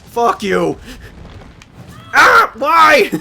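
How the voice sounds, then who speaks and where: Excitable, swearing man streaming a game. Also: extremely high pitch, extremely slow, gravelly. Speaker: gamer streaming a playthrough. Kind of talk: live gameplay commentary.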